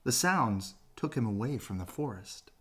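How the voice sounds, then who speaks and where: A man reading a children's story aloud as expressive bedtime narration, with dramatic, drawn-out phrasing. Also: mid-range voice.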